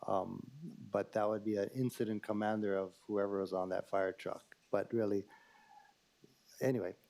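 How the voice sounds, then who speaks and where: Council chair, male, low and gravelly voice, procedural. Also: little pitch movement, normal speed, a little mellow. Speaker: committee chair.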